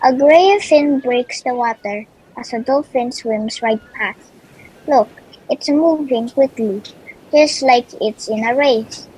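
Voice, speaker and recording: A female reader with a halting delivery, reading a children's picture book aloud and stumbling over words. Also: a little high, darkish tone, a little hiss or hum, poor audio.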